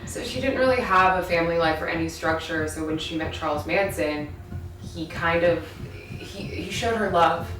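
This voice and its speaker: A woman's voice: on the low side, normal speed, fairly bright tone, distant mic. A woman, an actress, casually describing her character in a shared interview. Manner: casual, uses "like" often. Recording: echoey room from afar, hiss.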